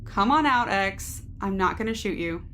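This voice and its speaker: An actress playing a cool, cynical security team member in a science fiction audio drama, terse, wry and matter-of-fact. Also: female voice, medium pitch, expressive, normal speed, background noise, natural voice. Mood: dry, calm.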